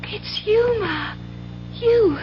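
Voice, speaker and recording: Fey young woman, radio play, haunted and emotional, high-pitched, muffled vintage broadcast. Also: animated, drawn-out words, slight background noise, exaggerated voice. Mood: shaken, relieved. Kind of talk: 1970s radio drama acting. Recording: dull 1970s AM radio broadcast.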